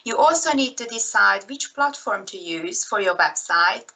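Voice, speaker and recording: A woman's voice: mid-range voice, lively intonation, moderate pace, vocal fry, sharp tone, rough recording. A woman from Hungary in her thirties with a Hungarian accent, giving a recorded slideshow talk in a careful manner with frequent fillers. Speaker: presenter.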